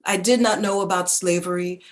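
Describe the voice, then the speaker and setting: An actress playing a reflective woman narrator who recalls her childhood in a contemplative, deliberate literary reading. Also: lowish voice, fairly even intonation, natural voice.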